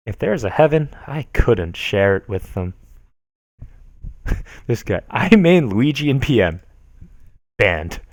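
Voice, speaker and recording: Male streamer reading forum posts, animated delivery, dry recording. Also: medium pitch, dry recording. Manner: animated, sarcastic, laughs at what he reads.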